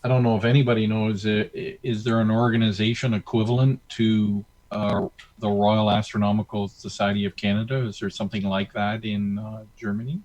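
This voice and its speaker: Low-voiced, hesitant man moderating questions. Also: little pitch movement, a little mellow. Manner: hesitant, halting. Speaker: question moderator at an astronomy club. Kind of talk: informal meeting talk, relaying questions. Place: online video meeting.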